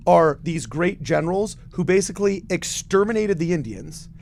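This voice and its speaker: A man's voice: sits high, animated, moderate pace, creaky, darkish tone, slight background noise. A man co-hosting a casual political chat podcast, talking animatedly and acting out the people he quotes. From lived two years in Argentina, speaks Spanish. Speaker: podcast co-host.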